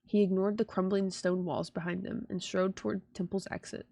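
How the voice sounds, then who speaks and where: A woman's voice: medium pitch, little pitch movement, a little fast, vocal fry, dark tone, no room sound. A woman streamer reading game text aloud and reacting casually, in an irreverent, slangy way.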